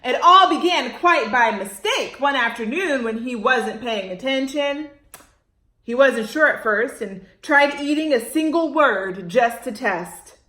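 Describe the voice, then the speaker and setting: A woman hosting a story time for young children, reading aloud with dramatic emphasis, drawn-out words and questions to her listeners. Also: high voice, expressive, pushed and bright, reverberant.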